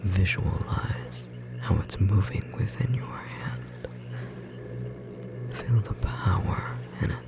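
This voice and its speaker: A performer voicing a tender magical bookstore clerk in an ASMR roleplay, whispering softly and gently encouraging a beloved partner, with a slightly rough edge. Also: low-pitched, steady tone, slow, pauses often, loud music behind the voice, full character voice. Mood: gentle, guiding. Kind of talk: ASMR roleplay, intimate whispered second-person acting.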